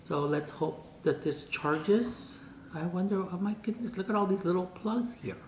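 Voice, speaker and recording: A man's voice: high voice, normal speed, a little mellow. A man in his sixties chatting casually and thinking aloud during an unboxing, with puzzled asides and bits read out. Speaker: vlogger. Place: home dining room, indoors. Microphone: camera across the table. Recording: roomy echo, clear noise, camera across table.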